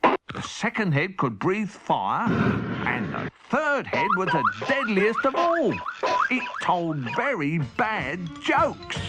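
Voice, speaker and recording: A man narrating a children's audiobook as an expressive, theatrical storyteller. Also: high-pitched, takes it slowly, over loud music.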